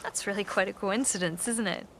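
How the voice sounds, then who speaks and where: An actress playing a guarded, grieving American daughter in a naturalistic radio drama. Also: female voice, mid-range voice, brisk, fairly bright tone, a little hiss or hum, lightly acted. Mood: wary. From United States.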